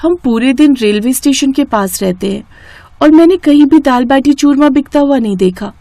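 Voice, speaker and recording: A woman's voice: high-pitched, normal speed, mellow, no room sound, pitched above the performer's own voice. A woman voicing an eager young daughter in a cartoon story, earnest and persuasive with her mother.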